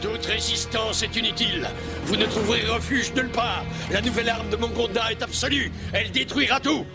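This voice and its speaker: An actor voicing a menacing villain lord in a cartoon dub, declaiming a cold threat. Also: male voice, sits high, fairly quick, vocal fry, over loud music. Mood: threatening. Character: menacing male villain lord broadcasting an ultimatum. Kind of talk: 1990s French cartoon dub.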